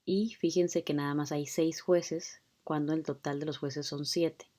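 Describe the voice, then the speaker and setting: A woman's voice: low-pitched, fairly even intonation, unhurried, dark tone. A woman lecturer explaining a court hearing video to her students in a conversational way, with frequent fillers. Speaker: law lecturer.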